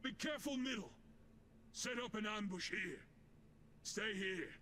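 A man's voice: high voice, animated, slow, a loud crowd. A man voicing a video game character who calls out short battle warnings. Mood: alert.

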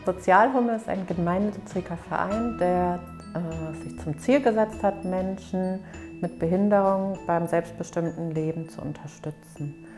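A woman's voice: fairly low pitch, unhurried. A woman explaining her organisation's services in an interview for a promotional film. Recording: music behind the voice.

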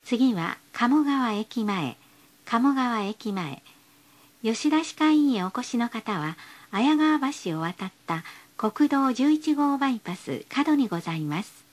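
A woman's voice: a little high, lively intonation, slow pace. A woman reading scripted bus stop announcements in a polite, formal, carefully enunciated way.

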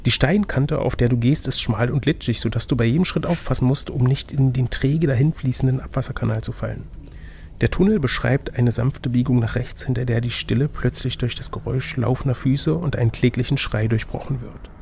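A man's voice: mid-range voice, brisk, vocal fry, darkish tone, slight background noise. A man narrating an adventure gamebook as game master, switching between scripted reading and casual chat.

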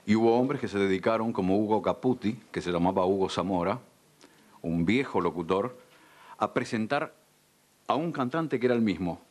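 An older Argentine man, a veteran radio announcer, reminiscing in a measured, polished way in a studio interview. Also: on the low side, lively intonation, a little mellow. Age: older, around sixties. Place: dark television studio.

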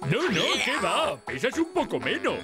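A woman's voice: mid-range voice, wildly swooping pitch, normal speed. A woman voicing an inquisitive, know-it-all male animal presenter in a cartoon, with a blustering manner. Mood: tactless. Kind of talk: exaggerated cartoon voice acting. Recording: music behind.

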